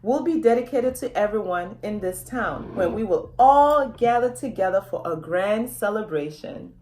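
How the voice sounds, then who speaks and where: A woman's voice: mid-range voice, expressive, moderate pace, mellow, close to an everyday voice. A woman voicing a kindly animal town mayor who makes emphatic proclamations in a children's storybook. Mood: proclaiming. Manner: declaiming, emphatic, repeats phrases.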